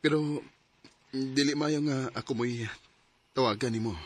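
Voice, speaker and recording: Actor for a torn, earnest lover, mid-pitched radio drama narration. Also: male voice, a little slow, close to an everyday voice. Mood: troubled. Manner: earnest, troubled. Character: conflicted man torn between love and friendship. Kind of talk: first-person radio drama narration and acting.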